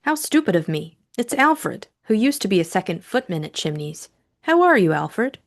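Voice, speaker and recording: A woman voicing a headstrong young upper-class lady in an audiobook, brisk, teasing and probing. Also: mid-range voice, sing-song. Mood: curious. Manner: adamant, probing. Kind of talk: audiobook character acting.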